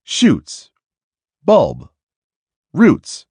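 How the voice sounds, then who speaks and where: Slow, very animated man reading aloud. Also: a little high, husky, no echo, clean studio sound. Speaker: read-aloud narrator. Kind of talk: children's nonfiction book read aloud.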